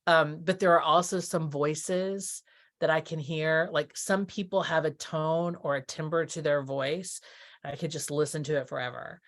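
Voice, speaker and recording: A woman's voice: low-pitched, fairly even intonation, normal speed. A middle-aged woman from Texas giving casual interview answers, thinking aloud and correcting herself as she goes. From Texas, United States. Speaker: interview guest, theatre producer.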